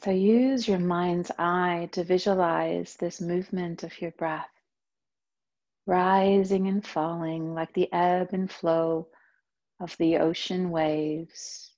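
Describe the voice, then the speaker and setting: Female meditation teacher, low mellow voice, very slow soothing delivery, slightly muffled recording. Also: fairly even intonation. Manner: slow, soft, soothing instruction. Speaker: yoga teacher. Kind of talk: guided meditation.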